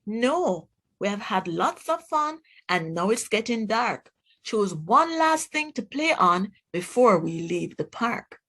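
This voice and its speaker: A woman voicing a kind, patient mother in a children's storybook, gentle, measured and reassuring. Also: medium pitch, very animated, exaggerated voice. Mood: firm, kind.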